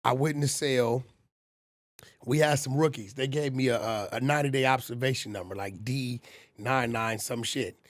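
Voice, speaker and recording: A man in his forties telling street stories in casual, animated talk on a podcast. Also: mid-range voice, moderate pace. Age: 40s. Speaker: podcast guest. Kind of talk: casual storytelling.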